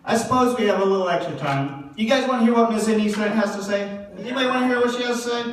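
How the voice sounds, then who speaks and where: A man performs a talk-show doctor puppet with a high-pitched voice. He moderates and addresses the crowd like a presenter. The recording is distant, in a heavily echoing hall. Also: fast talker, lightly acted. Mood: jovial. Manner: presenterly, addresses audience and guests. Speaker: host. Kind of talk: church puppet show acting as chatty host. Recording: very echoey hall, distant mic, faint noise.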